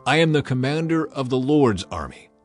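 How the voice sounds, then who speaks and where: A man's voice: mid-range voice, very animated, moderate pace, close to an everyday voice. Man as stern commander of a heavenly army. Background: background music.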